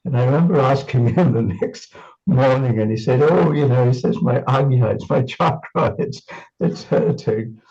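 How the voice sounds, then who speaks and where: A man's voice: mid-range voice, moderate pace, warm tone, dull audio. A man from Singapore reminiscing in an interview, thoughtful and full of restarts and fillers. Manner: thoughtful, repeats words, many fillers.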